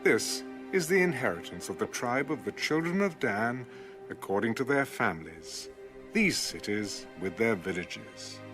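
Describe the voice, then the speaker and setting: A man reading Bible scripture as a scripted audiobook narration, measured and deliberate. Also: mid-range voice, very animated, over music.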